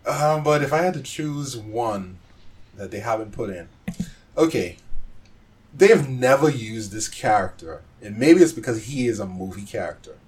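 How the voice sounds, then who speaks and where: A man's voice: mid-range voice, expressive, moderate pace, darkish tone, a little hiss or hum. A man in a casual podcast chat about games, thinking aloud hesitantly as he talks. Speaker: podcast panelist.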